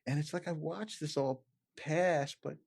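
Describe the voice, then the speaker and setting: A man, a chiropractor chatting casually on a podcast, animated and peppering his talk with "you know". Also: a little high, a little slow, gravelly. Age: 50s. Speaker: chiropractor guest.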